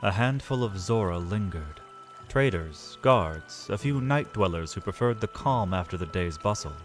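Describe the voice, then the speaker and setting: A man narrating a scripted fantasy audio drama, solemn and measured, with deliberate pauses. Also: low voice, expressive, faint music behind.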